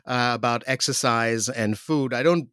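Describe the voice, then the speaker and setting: A man from Pakistan hosting a relaxed academic interview, laughing often and hesitating with fillers and restarts. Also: mid-range voice, moderate pace, clean tone, no room sound, clean studio sound. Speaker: interviewer host.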